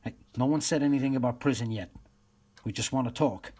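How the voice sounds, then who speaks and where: A man playing a steady police detective in a radio play, firm and questioning. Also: medium pitch, brisk, breathy edge, close to an everyday voice. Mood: probing.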